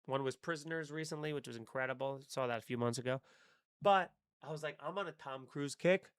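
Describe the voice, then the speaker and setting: A man's voice: mid-range voice, a little fast, clean tone, dry recording, clean studio sound. A young man hosting a live stream, chatting casually, rambling and swearing with wry asides. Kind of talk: casual live-stream chat reading chat comments.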